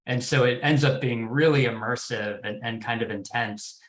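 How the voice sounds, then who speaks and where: A man explaining things on an informal professional panel, measured and a little hesitant, repeating words as he goes. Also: medium pitch. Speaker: development executive, panel guest. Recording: roomy, echoing sound.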